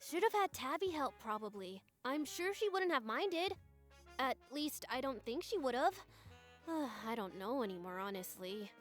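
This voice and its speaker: A woman's voice: high voice, sing-song, a little slow. A woman voicing a friendly young classmate in a visual novel, chatty and casual. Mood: unsure. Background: faint music.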